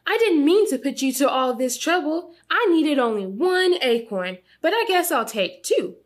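A woman's voice: high-pitched, sing-song, normal speed. A woman voicing a polite, cheerful young male squirrel in a storybook read-aloud, with an earnest manner.

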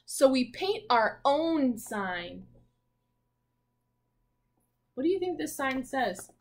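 Slow-paced young woman teacher, higher voice. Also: sing-song. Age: 20s. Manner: simple questions, leaving pauses for answers. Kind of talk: picture-book read-aloud with questions to children. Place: small room at home, indoors.

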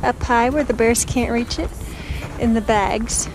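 A middle-aged woman chatting casually about her family's camping trip, relaxed and laughing between sentences, recorded outdoors on a camera. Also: fairly high pitch, measured pace. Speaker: family camper filming a trip. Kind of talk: casual vlog narration. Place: outdoors on a mountain lakeside campsite. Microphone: action camera. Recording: crackling noise from a camera adaptor.

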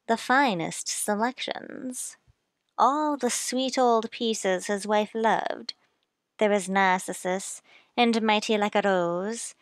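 A woman's voice: mid-range voice, no room sound. A woman playing a gossipy, matter-of-fact small-town matron in an old-time radio play, savoring every detail.

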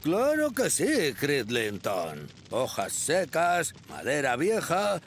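An actor playing a stern, pompous senior fire officer in a children's cartoon dub. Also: male voice, fairly high pitch, huge pitch swings, slight background noise, exaggerated voice. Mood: instructing. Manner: pompous, throat-clearing, drawn-out words. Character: stern, self-important senior fire officer, adult man.

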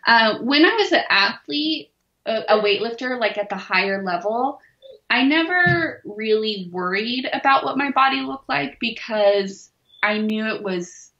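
Bright-voiced forty-year-old woman, candid. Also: fairly high pitch, expressive, measured pace, reverberant, dull audio. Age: 40s. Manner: open, self-deprecating, laughs easily. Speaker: interview guest, weightlifter. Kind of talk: candid podcast interview.

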